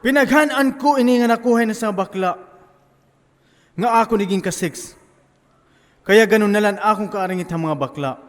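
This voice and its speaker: A man's voice: high-pitched, normal speed, pauses often, natural voice. A man with an Eastern Visayan Filipino accent acting a guilt-ridden philandering husband confessing in an amateur stage play. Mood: remorseful, explaining. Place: theatre stage in a hall. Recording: echoey hall, faint music behind.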